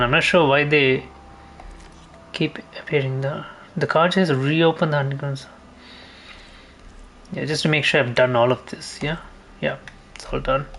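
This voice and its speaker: A man's voice: on the high side, fairly bright tone, slight background noise. A man giving casual gameplay commentary as a streamer, rambling and thinking aloud as he plays. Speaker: gaming streamer. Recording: faint game ambience behind. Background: video game ambience.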